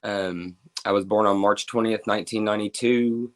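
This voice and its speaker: A man in his twenties with a Kentucky accent speaks as a guest, with a fairly low voice. He talks openly and at length, often using "like" and "um" as fillers. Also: steady tone, normal speed. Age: 20s. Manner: open and talkative, with frequent fillers. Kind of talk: casual interview, telling his life story.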